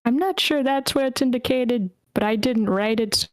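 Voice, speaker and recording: A woman's voice: fairly high pitch, normal speed, no room sound. Woman moderating casually, dry close sound. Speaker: host and moderator of a script table read.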